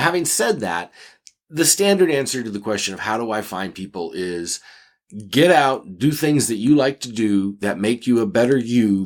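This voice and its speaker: A man co-hosting an advice podcast, chatting casually in an emphatic, reflective manner with measured phrases. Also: on the low side. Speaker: podcast co-host answering listener questions.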